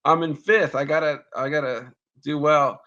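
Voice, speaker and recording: A man co-hosting a casual baseball talk show, halting and repeating words as he talks. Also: fairly high pitch, steady tone, pushed and bright, muffled recording. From Mexican roots. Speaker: baseball podcast co-host. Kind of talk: casual sports chat.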